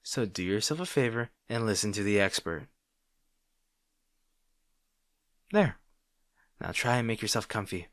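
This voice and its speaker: Man playing a low-voiced, grudgingly caring supervillain. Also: expressive, dry recording, studio quality. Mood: reassuring, gentle. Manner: halting, sighing, exasperated yet soft. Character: grudgingly protective male supervillain rival, sarcastic but caring. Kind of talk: intimate audio roleplay acting addressed to the listener.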